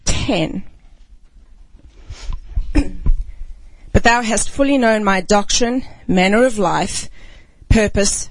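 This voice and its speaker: A woman ministry speaker, raised outside the United States, gives a reflective devotional talk and reads scripture, often clearing her throat. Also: mid-range voice, no room sound, rough recording.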